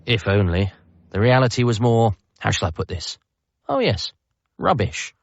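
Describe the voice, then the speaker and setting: Low-voiced, anxious British son. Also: male voice, big pitch swings, moderate pace, gravelly, no room sound, dull audio. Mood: rueful. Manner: hesitant, wry, earnest. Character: anxious, self-deprecating grieving son working as a doctor. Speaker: narrator and lead character. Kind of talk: first-person radio comedy drama narration.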